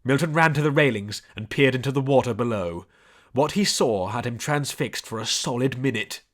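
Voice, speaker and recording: A man reading scripted story narration in a measured storybook delivery. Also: mid-range voice, a bit bright. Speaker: audiobook narrator.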